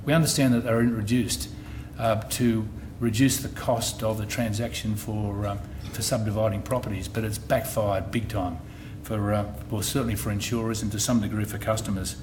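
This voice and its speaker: A middle-aged man with an Australian accent, an insurance executive giving a presentation at a public meeting, measured and often restarting his phrases. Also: on the low side, fairly even intonation. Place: meeting hall. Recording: noisy meeting room recording.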